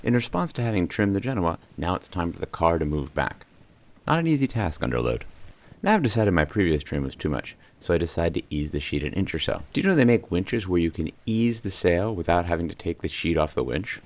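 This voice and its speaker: A man with a very deep voice narrates a scripted voice-over about sailing. He keeps an even, measured delivery, lightened by dry, wry asides, and his pitch moves freely across a wide, lively range. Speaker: solo sailor and channel host.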